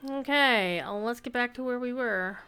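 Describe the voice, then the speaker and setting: A woman game streamer with a bright, clear voice that sits a little high. She chats casually as she plays, thinking aloud and reading out bits of quest text. Soft game music runs underneath. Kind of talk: casual gameplay commentary. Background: faint game music.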